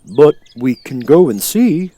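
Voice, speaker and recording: A man voicing a thoughtful, hearty male scarecrow who talks in a slow, measured way. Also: medium pitch, sing-song, mellow, voice pushed up.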